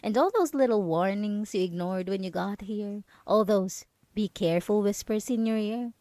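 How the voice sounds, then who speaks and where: A woman's voice: mid-range voice, moderate pace, mellow, dry recording, lightly acted. A woman playing a possessive, mocking yandere roommate in an ASMR roleplay, teasing and condescending as she lingers on her words. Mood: ominous. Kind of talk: dramatic ASMR roleplay monologue.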